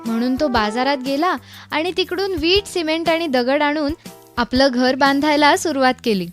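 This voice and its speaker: A woman's voice: high voice, normal speed. A woman narrating a scripted children's story as a storyteller. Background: background music.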